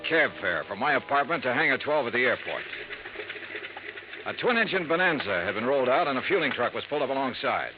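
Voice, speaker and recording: Actor as fast, wry radio detective. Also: male voice, mid-range voice, noisy background, natural voice. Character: brisk, wry freelance insurance investigator. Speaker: lead actor and narrator of a radio detective series. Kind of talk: 1950s radio noir acting. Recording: muffled vintage radio recording with music.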